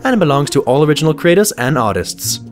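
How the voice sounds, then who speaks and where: A man's voice: on the high side, sing-song, fast, soft background music. A man announcing credits in a scripted narration.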